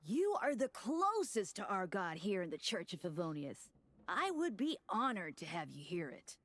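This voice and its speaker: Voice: mid-range voice, very animated, moderate pace, fairly bright tone. An actor playing a polite, breezy young male church deacon in a video game. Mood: reverent.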